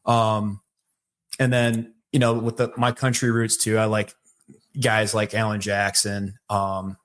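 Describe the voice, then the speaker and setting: A man's voice: lowish voice, flat delivery, slow pace, fairly bright tone. A middle-aged man with a distinct Michigan accent talks loosely as an interview guest. He rambles, doubling back with fillers and restarted phrases as he goes. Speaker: sales leader, podcast guest. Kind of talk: casual interview conversation.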